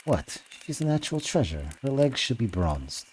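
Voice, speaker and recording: A man's voice: medium pitch, sing-song, normal speed, a little mellow, slight background noise, lightly acted. A man voicing a boastful, teasing young Russian man in acted audiobook dialogue, theatrical and cocky.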